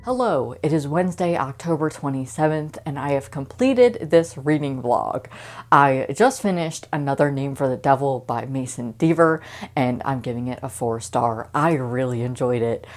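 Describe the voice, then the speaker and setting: A woman with a low-pitched voice talks casually to her viewers about what she has been reading. She is enthusiastic and gushes freely, in the informal style of a book reviewer's vlog. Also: normal speed. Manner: enthusiastic, gushing. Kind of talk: casual chat to camera.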